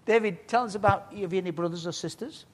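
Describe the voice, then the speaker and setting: Male interviewer, friendly and teasing, higher-than-usual voice. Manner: friendly, teasing, halting questions. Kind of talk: casual interview questions.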